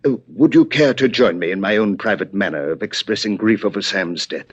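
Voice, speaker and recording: A sly, insinuating gentleman villain, low and husky, with pompous, precise politeness. The sound is muffled, as in a 1950s radio drama. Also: a little fast. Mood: oily, courteous. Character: urbane, sly, insinuating male villainous antiques dealer. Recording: muffled old radio recording.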